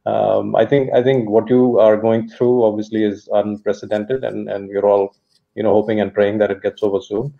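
A man, a company executive, answering in a steady, thoughtful business interview over a video call. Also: on the low side, normal speed, gravelly. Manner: steady, thoughtful, many uh fillers. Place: home study. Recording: dull lo-fi video call audio.

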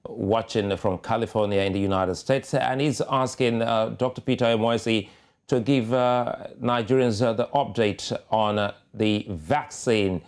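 A man hosting a news panel, wrapping up in a hurried, stumbling way. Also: fairly low pitch, steady tone. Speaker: news show host. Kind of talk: moderating a panel.

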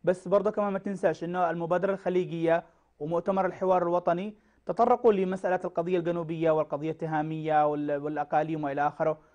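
Male TV host in his thirties, brisk and friendly with callers, fast delivery. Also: a little high, flat delivery, a little mellow, lo-fi sound. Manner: fast, friendly, interjects short acknowledgements. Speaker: TV call-in show host. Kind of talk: call-in talk show. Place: TV studio. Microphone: lapel mic.

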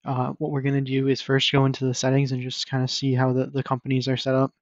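A man presenting a casual screen-share software demo in an informal, explanatory and somewhat hesitant way. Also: medium pitch, monotone, fast talker, no room sound. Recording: dull lo-fi microphone recording.

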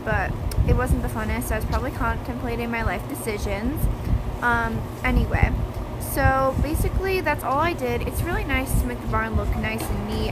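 Teen girl, higher voice, rambling casual vlog, loud noisy barn background. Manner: chatty, rambling with many fillers. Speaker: vlogger. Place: horse barn.

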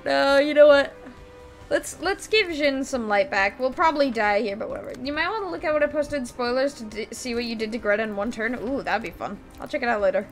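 Young female streamer, gleeful and sweary, lively pitch, faint music under her. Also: brisk. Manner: gleeful, laughs often, swears casually. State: voice slightly strained. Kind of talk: casual gameplay commentary. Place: small room at a gaming desk. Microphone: desk mic, webcam at computer. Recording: game music faintly behind.

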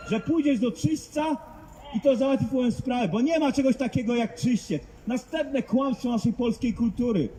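Fervent high-voiced male street preacher. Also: mellow, a little hiss or hum, low-quality recording. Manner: fervent, emphatic, rhetorical questions. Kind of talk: open-air evangelistic preaching. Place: outdoors in a public street.

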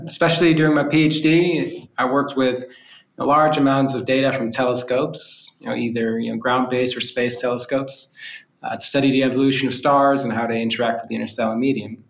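A man's voice: medium pitch, steady tone, a little fast, vocal fry. A man speaking as a guest who tells his story steadily, with many "you know" and "uh" fillers. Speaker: lead data scientist guest. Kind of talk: casual interview answers. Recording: roomy, dull remote audio.

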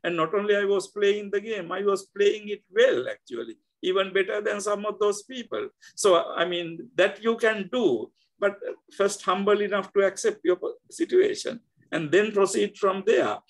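An older man with a Sri Lankan accent, speaking as an interview guest over a video call, halting with many fillers and restarts. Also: high voice, animated, low-quality recording. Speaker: guest scholar. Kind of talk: reflective interview talk. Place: indoor home room.

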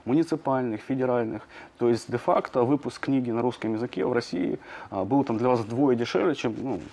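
A man, a journalist, answering in a studio interview, talking quickly with many hesitations and fillers. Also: medium pitch, darkish tone. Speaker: journalist guest.

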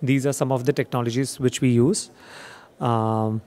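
A man's voice: mid-range voice, steady tone, normal speed, mellow. A young man presenting his company at a trade show demo theater, hesitant with many fillers. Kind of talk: trade show sales pitch. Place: dark expo demo theater.

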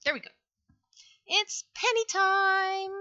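A woman's voice: very high voice, takes it slowly, bright, forceful tone, dry recording, muffled recording. A woman chatting casually on a livestream while she works, rambling and interrupting herself in a scattered way. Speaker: livestreamer, webcomic artist. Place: dry indoor room, livestream setup.

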